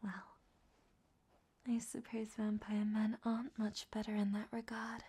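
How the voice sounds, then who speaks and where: Woman acting a slow, breathy, whispering flirtatious vampiress. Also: medium pitch, completely flat delivery, warm tone. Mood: playful, coy. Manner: slow, sultry, teasing. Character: flirtatious, teasing, captured female vampire. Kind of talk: whispered ASMR roleplay.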